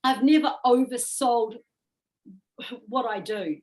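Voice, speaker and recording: A woman's voice: fairly high pitch, slow pace, a little mellow. A middle-aged woman from New Zealand with a strong Kiwi accent, chatting earnestly as a music manager in an interview. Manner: chatty, earnest, repeats words and adds "right?". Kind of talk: casual interview chat.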